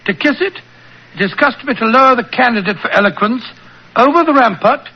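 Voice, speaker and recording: An actor playing an elderly, genial British doctor who recounts old adventures in a hesitant, avuncular way, in 1940s radio style. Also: male voice, extremely high pitch, lively intonation, fast talker, slightly rough, full character voice. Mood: earnest storytelling. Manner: avuncular, hesitant, slightly fussy storyteller. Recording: muffled old-time radio recording.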